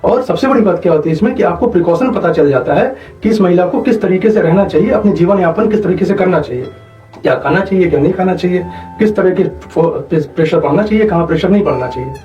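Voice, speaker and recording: A man's voice: sits high, expressive, quick pace, mellow. A man explains medical matters didactically as an interview guest, repeating points and checking that he is understood. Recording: dull, echoey, distant mic, faint music behind.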